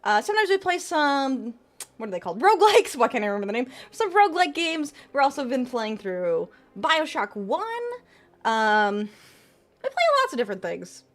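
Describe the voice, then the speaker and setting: A woman's voice: high-pitched, sing-song, moderate pace, a bit bright. A woman VTuber streamer chatting casually with her viewers and giving tarot readings. She laughs often and trails off and restarts as she talks.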